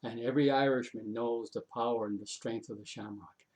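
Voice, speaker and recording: A man with a mid-pitched voice tells an old folk tale aloud. He is dramatic and unhurried, repeats phrases for effect and slips in casual asides to his listeners. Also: fairly even intonation, darkish tone, poor audio. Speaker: storyteller. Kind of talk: oral folk tale storytelling.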